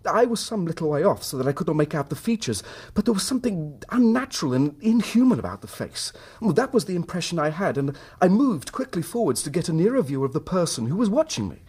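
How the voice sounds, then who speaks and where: A man's voice: a little high, big pitch swings, quick pace, few pauses, darkish tone, breathy edge. An actor playing an earnest, troubled middle-class English husband telling his story in formal period language for a radio drama. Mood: agitated, uneasy.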